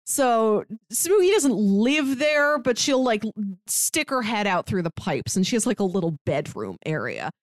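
Casual female co-host for recaps, expressive and animated storyteller, very little room sound. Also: mid-range voice, moderate pace, no echo, clean studio sound. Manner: animated storytelling that acts out quotes. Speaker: podcast co-host. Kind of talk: casual chat recapping a manga plot.

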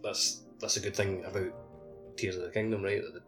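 A man's voice: sits low, flat delivery, normal speed, music in the background. A man co-hosting a casual video game podcast over a voice call, rambling and tentative in his phrasing. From Scotland. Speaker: podcast co-host. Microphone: voice call over the internet.

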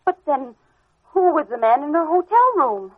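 An actress playing a feisty, headstrong, sharp-tongued lady in a 1940s radio detective drama. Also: sits high, sing-song, normal speed. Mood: worried. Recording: muffled old radio drama recording.

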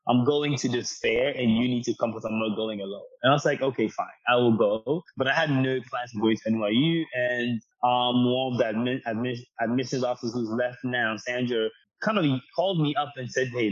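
Husky-voiced man from Ghana, earnest storyteller. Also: mid-range voice. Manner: earnest, talkative, frequent restarts. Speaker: guest. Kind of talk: storytelling interview answer. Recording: dull lo-fi sound.

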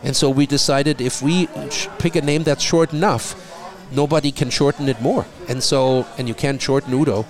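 A middle-aged man from Germany with a German accent, talking in a relaxed conversation and often repeating a word as he starts a thought. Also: mid-range voice, moderate pace. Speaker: guest. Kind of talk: casual conversation.

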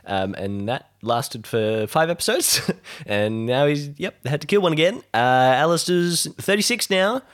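A man's voice: on the high side, animated, a little slow, hardly any pauses. A man chatting casually as a podcast cast member, jokey and rambling as he talks. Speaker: podcast cast member introducing his character. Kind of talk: casual banter.

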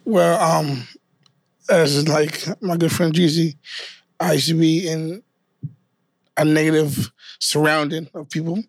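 A man's voice: a little high, little pitch movement, takes it slowly, pauses often, no echo. A man from New York with Guyanese family, a dancer telling his story in easygoing, loose casual chat. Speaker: dancer, guest.

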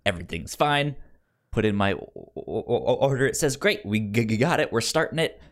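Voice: mid-range voice, expressive, moderate pace. A podcast co-host with a stutter, repeating sounds and words while telling an informal story in casual chat and acting out the dialogue.

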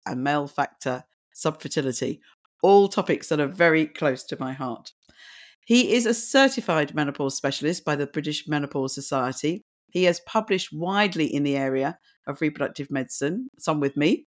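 A woman hosting a health podcast, hoarse and croaky from little sleep, reading a guest's introduction and asking interview questions. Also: deep voice. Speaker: podcast host.

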